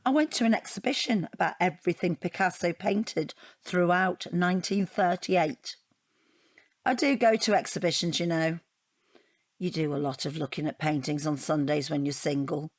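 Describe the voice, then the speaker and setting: Woman playing middle-aged divorcee, British, lowish pitch, dry studio sound, chatty, self-justifying, wry, comic radio drama. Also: normal speed, no room sound, lightly acted. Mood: wry, reminiscing. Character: chatty, defensive middle-aged divorcee discussing her own portrait. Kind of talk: audio comedy drama acting.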